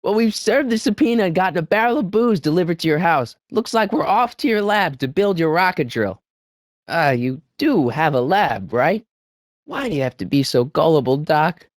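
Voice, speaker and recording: An earnest American teenage boy from an adventure video game, acted by a man. His voice is high and youthful, rising and falling with animated urgency. He pleads and persuades eagerly, with a nervous, stammering edge, recorded dry and clean. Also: no echo, lightly acted. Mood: nervous, hesitant. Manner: hesitant, stammering, eager, fast-talking persuader. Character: earnest, anxious teenage boy hero of a time-travel adventure. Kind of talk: video game character dialogue.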